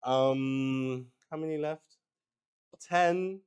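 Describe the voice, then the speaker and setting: Slow-paced man, casual and hesitant. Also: mid-range voice, darkish tone, clean tone. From Luxembourg. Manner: hesitant, thinking aloud. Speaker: solo roleplay stream player. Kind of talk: casual improvised chat while playing.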